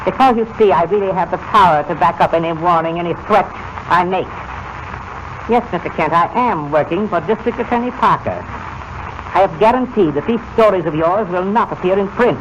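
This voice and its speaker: An actor playing a smug, menacing invisible villain in a 1940s radio drama, speaking formal, deliberate threats with mocking courtesy. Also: male voice, high voice, lightly acted. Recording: noisy, dull old-time radio recording.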